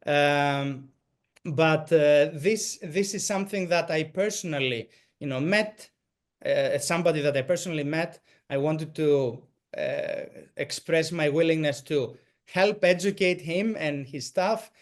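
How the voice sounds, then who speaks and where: A man with a Greek accent answering a question after a presentation, halting, with many restarts and fillers. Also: fairly high pitch. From Greek American.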